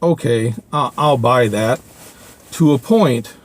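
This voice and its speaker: An older man talking his thoughts through to camera in a casual monologue, pausing often with fillers and restarts. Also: medium pitch. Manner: halting, many fillers and restarts. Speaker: video blogger.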